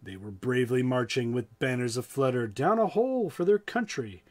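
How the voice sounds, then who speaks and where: A man with an American accent reading to children in an expressive, playful way, with casual asides. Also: mid-range voice, normal speed. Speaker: storytime reader.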